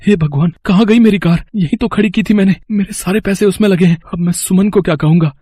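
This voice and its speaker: Guy acting humble young mechanic husband in a cartoon story, mellow highish voice, earnest n emotional, dry sound. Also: quick pace, husky, no room sound. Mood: panicked, pleading. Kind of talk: animated moral story voice acting.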